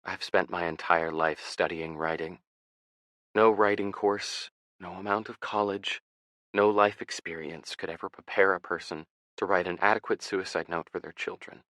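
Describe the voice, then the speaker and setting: A man's voice: deep voice, steady tone, normal speed, a bit bright, no echo. A man reading a dying mother's last letter. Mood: somber. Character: dying mother writing her final letter.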